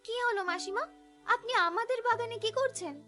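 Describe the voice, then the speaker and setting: A sharp-tongued young cartoon village wife, voiced by a woman, argumentative and suspicious. She fires off accusations quickly in a high, bright voice with music behind. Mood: accusing. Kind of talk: cartoon voice acting.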